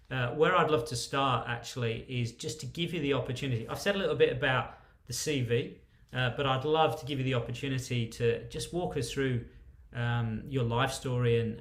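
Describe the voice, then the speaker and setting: A man's voice: medium pitch, steady tone, moderate pace. Effusive male interview host, roomy sound. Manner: effusive, frequent emphatic superlatives. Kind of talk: spoken introduction of an interview guest.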